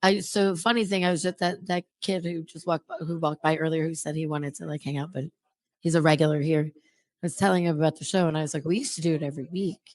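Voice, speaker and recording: A woman in her fifties with a distinctly low voice, recorded dry. She chats casually as a show co-host, rambling along in a conversational manner. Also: fairly even intonation, fast talker, a little mellow, no room sound. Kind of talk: casual chat.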